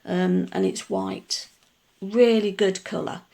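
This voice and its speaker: A middle-aged woman chats through her nail art steps, wandering off into asides as she goes. Her voice is gruff and full of gravelly fry because she has only just got up. Her pitch sits in the middle. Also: steady tone, slow, dark tone. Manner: chatty, rambling explanations with many asides. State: just woken up. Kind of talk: casual tutorial voice-over.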